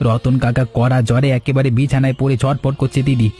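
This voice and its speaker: A man plays a young village lad in a cartoon tale. He talks fast and urgently in a mellow, mid-range voice. Light music sits behind the words. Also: medium pitch, flat delivery, close to an everyday voice. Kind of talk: Bengali cartoon story dialogue.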